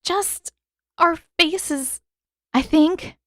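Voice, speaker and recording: A woman's voice: extremely high pitch, extremely slow, a bit bright, dry recording, clean studio sound, pitched above the performer's own voice, exaggerated voice. A woman voicing a determined teenage girl heroine in a storybook, earnest and urgent. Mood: uneasy.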